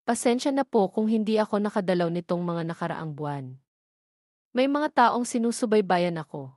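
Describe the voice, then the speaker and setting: An earnest young billionaire, polite yet pressed, as a female narrator reads him. His lines sit at a plain mid pitch. They are recorded close and dry. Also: expressive, normal speed, darkish tone, no room sound, lightly acted.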